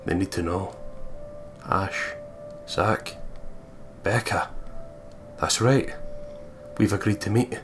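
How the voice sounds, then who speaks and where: A man's voice: fairly low pitch, slow pace, pauses often, over music. A man reading visual novel narration aloud and breaking into jokey, profane commentary as a gaming co-host. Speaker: let's play co-host.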